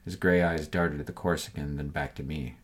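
A man's voice: rumbling low, fairly even intonation, fairly quick. A man reading a scripted first-person crime story as the narrator of an audio fiction serial.

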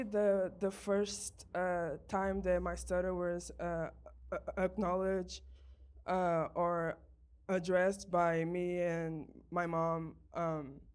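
A woman's voice: lowish voice, a little mellow. Young woman who stutters, speaking live. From Brazil, raised in Portugal. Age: young adult. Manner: stutters with repeated sounds, many fillers. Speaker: guest speaker. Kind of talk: personal talk at a live event.

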